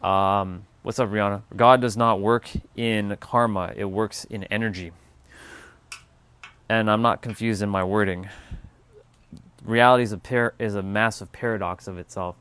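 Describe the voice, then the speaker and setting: A man hosts a relaxed spiritual livestream, thinking out loud and replying to chat as comments come in. His voice sits fairly low and sounds clean and smooth, and his talk drifts loosely with the odd casual swear. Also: normal speed, dry recording. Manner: rambling, musing, answers viewer chat. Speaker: livestream host.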